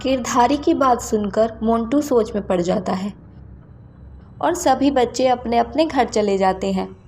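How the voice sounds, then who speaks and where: High-voiced woman narrating children's story. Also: a little slow, darkish tone, faint music behind.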